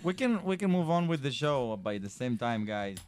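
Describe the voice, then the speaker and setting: A man's voice: mid-range voice, sing-song, moderate pace. A man, co-hosting a casual chat in an upbeat, joking manner.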